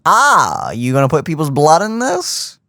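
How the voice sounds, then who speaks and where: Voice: medium pitch, huge pitch swings, measured pace, a bit bright, no room sound. A game streamer giving casual, playful commentary with teasing, joking asides during a playthrough.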